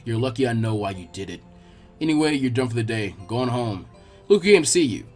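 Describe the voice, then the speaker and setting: A man's voice: mid-range voice, very animated, fairly quick, soft background music, pitched below the performer's own voice. A man voicing a stern, teasing adult male librarian in a fan fiction reading, dry and exasperated. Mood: resigned.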